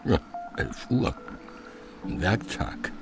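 A man's voice: slow, distant mic, over loud music, lightly acted. A man playing a wary, probing old retired scientist in a radio drama, speaking tersely with murmured hums. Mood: guarded, probing. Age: older. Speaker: actor in a radio crime drama. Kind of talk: naturalistic radio drama dialogue.

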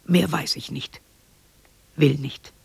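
An actor playing a broken, bitter old man in a radio drama monologue, hoarse and halting, often breaking into whispers. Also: fairly high pitch, steady tone, a little mellow, no echo, exaggerated voice. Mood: anguished lament.

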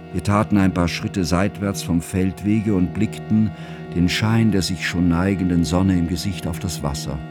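An actor playing a sober, elegiac narrator who recalls a friend in a German radio drama, measured and precise in delivery. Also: male voice, low-pitched, lightly acted. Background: instrumental music.

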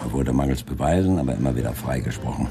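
A seasoned chief inspector with an extremely low voice. He talks with dry, deliberate authority, like someone used to command. It is a crime radio play with clear music running behind the dialogue. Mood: matter-of-fact. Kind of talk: 1980s German crime radio drama acting.

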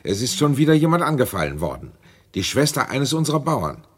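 An actor playing a sober, sceptical, paternal landowner with a husky voice in a radio play. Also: male voice, mid-range voice, very animated, brisk. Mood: grave, dismissive.

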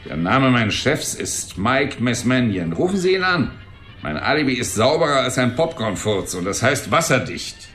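A man's voice: medium pitch, very animated, moderate pace, a bit bright, echoey room, faint music behind. An actor playing a cranky, cocky old man, a wisecracking and belligerent mechanic, in a German radio drama. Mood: boastful, grumbling. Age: older. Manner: wisecracking, belligerent, long-winded.

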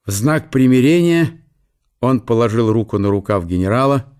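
A man's voice: mid-range voice, lively intonation, moderate pace, darkish tone, clean tone. A man reading scripted literary narration for a historical audio drama.

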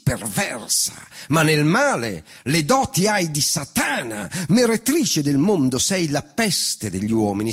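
A man's voice: fairly high pitch, slightly rough. A man reciting epic poetry in a solemn, declamatory, rhetorical manner. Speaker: reciting performer. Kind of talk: dramatic poetry recitation over band music. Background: wind band music.

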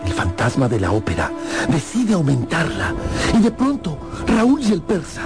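A man's voice: fairly high pitch, wildly swooping pitch, normal speed. A man narrating a radio drama with suspenseful, emphatic storytelling. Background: loud music.

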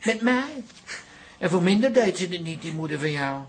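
A man's voice: sits high, lively intonation, normal speed, a little hiss or hum. An actor playing a doting middle-aged father in a radio drama, earnest and reassuring. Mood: consoling.

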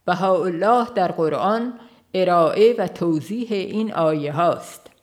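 An audiobook reader, giving a scripted narration of a religious book. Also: mid-range voice.

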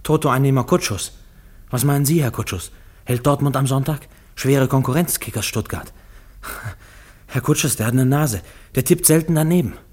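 A simple, dutiful railway man, played by an actor in a radio play. His voice is husky and mid-pitched, and he talks in a plain, earnest working man's way. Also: moderate pace, darkish tone, close to an everyday voice. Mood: earnest, homely. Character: simple, dutiful railway guard accused in court, desperate. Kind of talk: German radio play acting.

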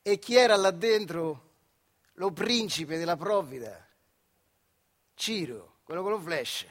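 A man's voice: high voice, expressive, slow, fairly bright tone. A man with a central Italian accent from the Marche, telling a story as a colloquial theatrical monologue with conversational asides. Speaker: storyteller, stage actor.

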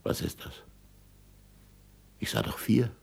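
An actor in a 1960s German radio drama playing a passionate, impatient middle-aged astronomer, with emphatic stage diction and a gravelly, husky voice. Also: male voice, slow, lightly acted. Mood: intent, wondering. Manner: emphatic stage diction, swinging from murmurs to shouts.

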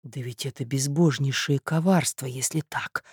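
An excitable young suitor from a storybook voiced by a female narrator. He sounds earnest and naive, with lively, expressive phrasing and a polite, flustered manner. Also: low voice, moderate pace, slightly rough, dry recording, clean studio sound. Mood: bewildered.

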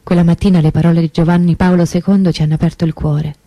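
A woman's voice: on the low side, level tone, fast talker, mellow. Woman acting anguished sister in theatrical monologue. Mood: urgent, aggrieved. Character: anguished older sister of a vanished girl, testifying. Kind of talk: theatrical monologue for radio theatre, intimate testimony.

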